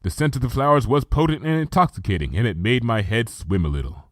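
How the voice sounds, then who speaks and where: A man reading a first-person story aloud as an expressive narrator. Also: medium pitch, fast talker, no room sound, clean studio sound. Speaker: fan fiction narrator and reader.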